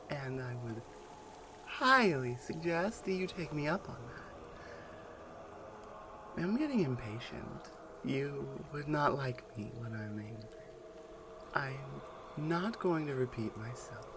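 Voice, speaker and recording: A man playing a menacing, taunting non-human warrior captor in an ASMR roleplay, speaking deliberately and with command. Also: medium pitch, noisy background. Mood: stern, threatening. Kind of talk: ASMR roleplay audio drama.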